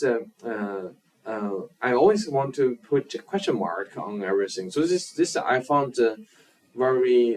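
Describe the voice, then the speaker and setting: A filmmaker from China, a native Chinese speaker, answering interview questions in English with many pauses, fillers and restarts. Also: male voice, on the low side, darkish tone. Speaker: filmmaker guest. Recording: distant from the mic.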